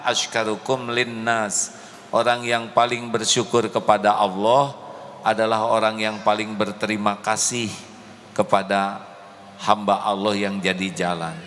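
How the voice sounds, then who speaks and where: A middle-aged man gives a mosque sermon at an unhurried, measured pace, leaning into emotional passages for the gathered congregation. His voice carries long reverb from a large hall over a faint hum of ambience. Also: lowish voice, a bit bright. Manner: slow, deliberate, emotive, addresses the congregation. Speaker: preacher. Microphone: handheld microphone.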